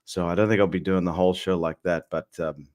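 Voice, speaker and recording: Hoarse Australian man hosting a livestream. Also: deep voice, fairly even intonation, fast, darkish tone. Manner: friendly, chatty, many fillers. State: hoarse from a cold. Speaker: host. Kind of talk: casual livestream chat.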